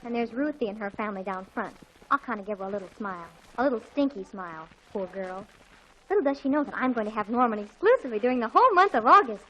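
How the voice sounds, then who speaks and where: A woman playing an excitable, dramatic teenage girl in a 1940s radio comedy, breathless and emphatic. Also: fairly high pitch, fast talker, muffled recording, slight background noise. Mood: smug, excited. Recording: old 1940s radio broadcast.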